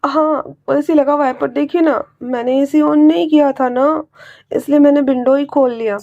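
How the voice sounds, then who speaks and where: A woman putting on a scared young man who trips over his words and begs for pardon, his voice pitched high above hers. It sounds close and dry, read aloud as a story. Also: steady tone, normal speed, a little mellow, no room sound, dull audio. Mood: nervous, pleading. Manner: stammering, pleading. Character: jittery young man, frightened and guilty.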